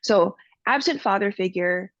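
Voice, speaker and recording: A woman's voice: mid-range voice, measured pace, dry recording, muffled recording. A woman from Miami, a podcast guest, speaking earnestly and candidly with frequent fillers. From Miami, USA. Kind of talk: personal interview talk.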